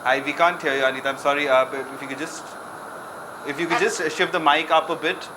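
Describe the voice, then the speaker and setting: A man's voice: fairly high pitch, steady tone, fast talker, background noise. A man moderating a live TV panel, polite and often stammering on restarts. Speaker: TV news anchor.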